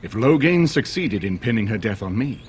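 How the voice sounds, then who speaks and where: Low-voiced dignified older nobleman. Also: very animated, a little hiss or hum. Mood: measured, grave. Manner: formal, measured. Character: measured, dignified older nobleman and political strategist. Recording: game audio with music underneath.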